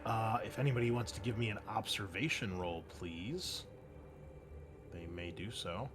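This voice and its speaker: Male game master, fairly low register, conversational narration for tabletop play, faint music underneath. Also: moderate pace. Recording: music playing behind the voice. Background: background music.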